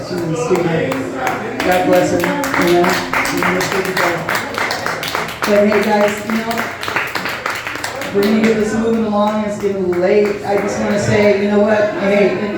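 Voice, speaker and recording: A middle-aged woman doing casual stand-up in a bar, rambling in a folksy, self-deprecating way. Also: medium pitch. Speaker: stand-up comedian and event host. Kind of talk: casual stand-up comedy set. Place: live in a bar and grill. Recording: very echoey bar, distant mic, audience.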